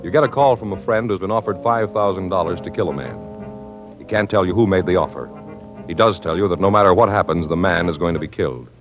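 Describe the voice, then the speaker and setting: A man announcing a 1950s radio crime series in a grave, measured, formal way. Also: deep voice. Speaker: show announcer. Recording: dull old radio recording with loud music.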